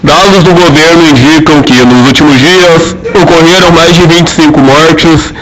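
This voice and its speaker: A man's voice: fairly high pitch, fairly even intonation, normal speed, poor audio. A young man playing a formal radio news announcer, reading scripted narration.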